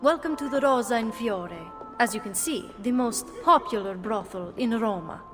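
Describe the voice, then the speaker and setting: An actress playing a proud, determined brothel madam in a video game, assertive, with an Italian accent. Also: female voice, on the high side, expressive, moderate pace, big-room sound, close to an everyday voice. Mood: proud, brisk. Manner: assertive, self-assured. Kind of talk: video game cutscene acting. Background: music.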